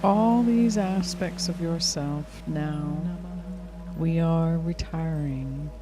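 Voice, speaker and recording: A woman leading a hypnotic guided meditation in a soothing, unhurried, gently instructing way. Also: low voice. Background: music clearly behind the voice.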